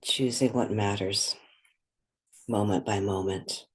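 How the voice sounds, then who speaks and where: A soft-spoken woman leading a guided meditation, pausing between short phrases. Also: rumbling low, steady tone, breathy edge.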